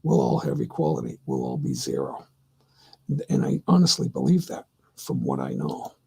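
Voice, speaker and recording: A middle-aged man hosting his own livestream, talking casually and answering chat in a rambling, opinionated way with many halting restarts. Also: very deep voice, wildly swooping pitch, creaky, a little mellow, husky. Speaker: livestream host.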